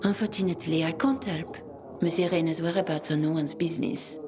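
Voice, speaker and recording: Haughty gatekeeper secretary, played by an actress, deep female voice, formal and aloof, for a video game. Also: fairly even intonation, brisk, music in the background, close to an everyday voice. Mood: aloof, dismissive. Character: haughty, guarded female secretary to a powerful boss.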